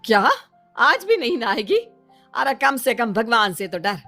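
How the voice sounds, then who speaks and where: A woman's voice: fairly high pitch, very animated, a little slow, pushed and bright, soft background music, exaggerated voice. A woman voicing a nagging, exasperated middle-aged mother in a dramatized moral story. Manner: scolding, nagging.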